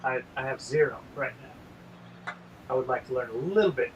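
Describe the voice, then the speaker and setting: A man's voice: medium pitch, normal speed, dull audio, a little hiss or hum. A man hosting a casual painting livestream, rambling and sleepy with lots of ums.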